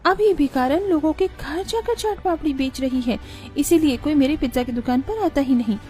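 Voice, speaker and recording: Woman as cartoon villainess, jealous shop owner, haughty, spiteful, high voice, music underneath. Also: normal speed, a little mellow, close to an everyday voice. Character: jealous, scheming rival shop owner, a mother.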